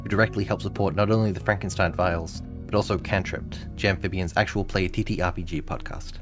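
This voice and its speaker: Deep-voiced man reading credits over music. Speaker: podcast creator reading credits. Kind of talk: scripted outro narration. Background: music under the voice.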